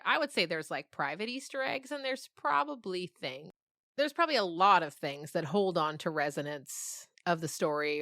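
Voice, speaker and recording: A woman speaks in a casual interview, explaining her creative work in a thoughtful way. Her voice sits fairly low but is clearly bright and forceful. The sound is close and dry, with little room around it. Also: dry recording. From grew up on a farm. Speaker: interviewed writer of a fiction podcast.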